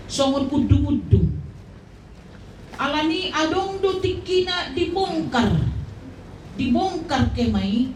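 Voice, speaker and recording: A woman with a Batak Indonesian accent delivers a funeral sermon as a preacher, with deliberate, emphatic phrasing and frequent pauses, in a roomy, distant recording with faint noise. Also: fairly high pitch, darkish tone.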